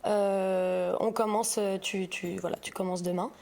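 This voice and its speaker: A woman speaking casually as a podcast guest, pausing often as she tells about her work. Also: medium pitch, steady tone, vocal fry, a little mellow. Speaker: podcast guest, audiovisual sustainability consultant. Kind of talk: casual interview storytelling.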